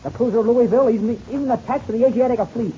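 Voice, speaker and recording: An actor playing a brisk, skeptical young pilot in 1930s radio drama style. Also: male voice, extremely high pitch, warm tone. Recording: muffled, noisy old radio serial recording.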